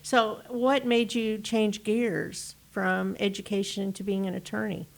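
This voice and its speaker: A woman's voice: medium pitch, level tone, unhurried, creaky. Woman, interviewer, friendly, agreeable, casual interview. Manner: friendly, laughs often, agreeable.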